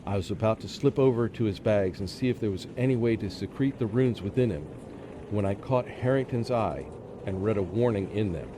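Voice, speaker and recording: An actor playing a tormented, fearful professor who narrates his own story in a radio play, with an anguished, halting delivery. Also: on the low side, noisy background, close to an everyday voice. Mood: tense suspense. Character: tormented, fearful male professor under a deadly curse. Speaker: narrating lead in a radio drama. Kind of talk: dramatic first-person radio play narration. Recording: prominent music under the voice.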